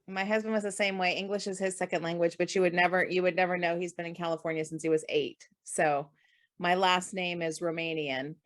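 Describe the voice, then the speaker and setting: A woman hosting a casual artist interview, friendly and chatty, answering her guest with quick agreeing replies. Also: on the low side, steady tone. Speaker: interview host.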